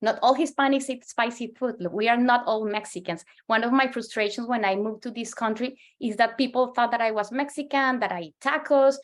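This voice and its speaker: A woman's voice: fairly high pitch, a little fast, few pauses. Colombian woman giving an earnest talk. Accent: Colombian Spanish. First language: Spanish. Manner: earnest, frequent restarts and repeated words. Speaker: market researcher presenting. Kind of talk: presentation to a professional audience.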